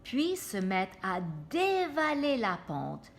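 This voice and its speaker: A woman reads a picture book to children at an unhurried pace. Her pitch is lively and varied, and she often steps out of the story to explain and check in. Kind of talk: animated read-aloud with asides to children.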